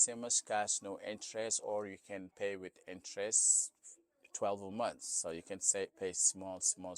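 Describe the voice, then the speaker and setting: A man from Senegal with a Senegalese accent, a non-native English speaker, answering casually in an interview and often adding "you know". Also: fairly low pitch. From Senegal, West Africa. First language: not English. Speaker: store owner being interviewed.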